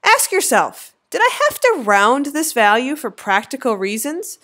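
A woman in her thirties narrating a slideshow lecture as an instructor, with clear, lively teacherly emphasis. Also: high-pitched, measured pace. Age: 30s.

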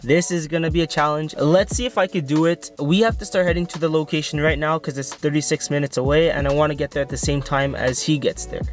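Young man vlogging, higher-pitched voice, music behind. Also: fairly even intonation, fast talker, few pauses. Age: 20s. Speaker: vlog host, photographer. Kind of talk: casual vlog talk to camera. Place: car interior, a room, outdoors by a river at different times.

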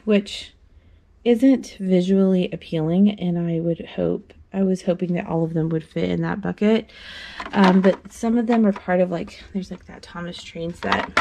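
A young woman with a low, gravelly voice chats casually to a phone camera held at arm's length. She rambles through her thoughts with lots of ums and restarts, recorded in a small room at home. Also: normal speed, background noise. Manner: rambling, hesitant, many fillers and restarts. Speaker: mom vlogger. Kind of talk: casual chat. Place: small carpeted playroom at home, indoors. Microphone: handheld phone camera at arm's length.

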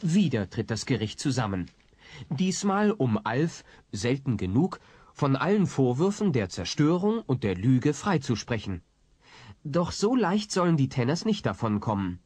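Male audio play narrator, wry, expressive range, slightly husky tone, dry studio sound. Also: mid-range voice, unhurried, no echo. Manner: wry, storytelling.

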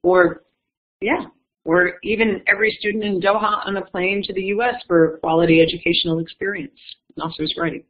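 Woman, foundation director, measured and explanatory, mid pitch, tinny phone-like audio. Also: steady tone. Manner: measured, explanatory, self-correcting. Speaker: executive director of an education foundation. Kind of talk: panel talk answering audience questions.

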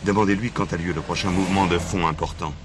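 An actor playing a dogged, streetwise police inspector in a French radio play, insistent, deferential yet cheeky. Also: male voice, low-pitched, steady tone, quick pace, close to an everyday voice. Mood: confiding, determined. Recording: noisy archive drama recording, vehicle ambience.

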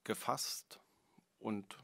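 A man as club president, answering a press question slowly and deliberately. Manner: slow, calm, deliberate. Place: press conference room.

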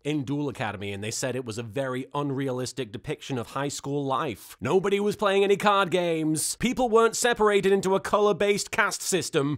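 A man in his thirties giving a playful, sarcastic comedy recap to camera in a theatrical manner. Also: high-pitched. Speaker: host of an episode recap and reaction series.

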